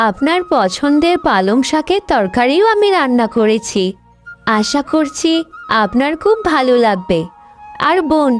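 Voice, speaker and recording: A woman voicing a gentle, pleading young bride. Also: high voice. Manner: soft, pleading, polite. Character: gentle, patient young daughter-in-law.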